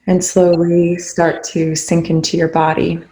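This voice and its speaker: A meditation leader guiding listeners in a soothing, unhurried way, with pauses between short instructions. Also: mid-range voice, monotone, mellow, poor audio. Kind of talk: guided meditation.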